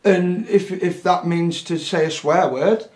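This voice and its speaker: A man's voice: high voice, measured pace, darkish tone, reverberant. A man, a CB radio operator, talking bluntly and passionately in a casual interview, often repeating himself.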